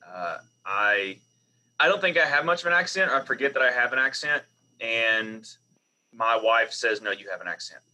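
Bright-voiced Southern man on a call. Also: medium pitch, animated, normal speed, low-quality recording. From Southern United States. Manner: chatty, self-deprecating storyteller with restarts. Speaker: biblical scholar, professor. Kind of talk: online panel discussion. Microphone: video call.

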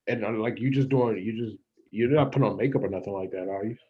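A man's voice: lowish voice, quick pace. A man in casual chat, asking questions of the others, heard on a slightly muffled recording.

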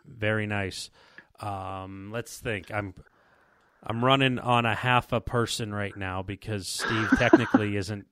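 Low-voiced man hosting a casual podcast. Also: steady tone, a little slow, bright, forceful tone, smooth, no echo. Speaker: podcast host. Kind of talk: casual podcast chat with sponsor reads.

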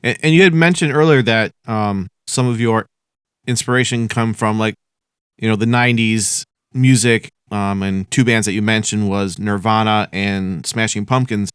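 Smooth-voiced man hosting an interview. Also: lowish voice, steady tone, normal speed, a bit bright, no echo, clean studio sound. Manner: conversational and encouraging, asking loose follow-up questions. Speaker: interview host. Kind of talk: casual interview conversation.

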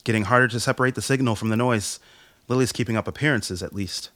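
Male actor as serious army major, medium pitch, matter-of-fact, analytical, radio drama, close dry sound. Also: fast, a bit bright, no room sound, natural voice. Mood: serious, focused. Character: sharp-minded army major, measured and serious intelligence officer.

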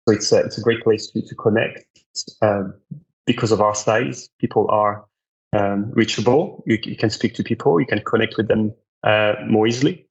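A man's voice: fairly low pitch, moderate pace, slightly rough, muffled recording. A man with a French accent, a podcast guest giving earnest answers and often repeating words as he thinks. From France. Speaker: guest, marketing director.

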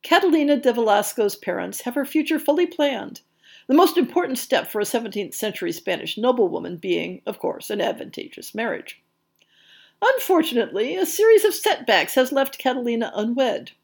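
A woman's voice: fairly high pitch, lively intonation, fairly quick, creaky. Woman podcast host reading from a script. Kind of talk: scripted narration, reading book listings and blurbs.

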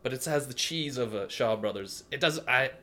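A man co-hosting a film review podcast, blunt and opinionated, often trailing off quietly. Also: medium pitch, animated, moderate pace, a little hiss or hum. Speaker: podcast co-host. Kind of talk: casual film review chat.

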